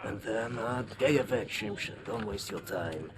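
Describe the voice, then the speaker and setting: A man's voice: fairly low pitch, a little slow, natural voice. Old prisoner scientist, wry and teasing, played by a man, background noise. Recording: game audio with clear background noise.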